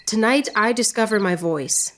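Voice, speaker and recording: An actress playing a witty, proud, lovelorn woman poet in radio theatre, speaking in a theatrical, yearning way with drawn-out phrases. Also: fairly high pitch, fairly bright tone, a little hiss or hum, close to an everyday voice. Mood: earnest, yearning. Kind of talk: poetic radio theatre acting.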